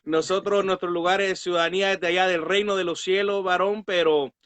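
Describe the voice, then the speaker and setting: High-voiced pastor, earnest. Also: male voice, fairly even intonation, fairly quick, fairly bright tone, clean tone, dry recording. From Guatemala. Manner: earnest, pious, peppered with amens. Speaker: pastor and Bible teacher, guest. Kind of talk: devotional religious talk.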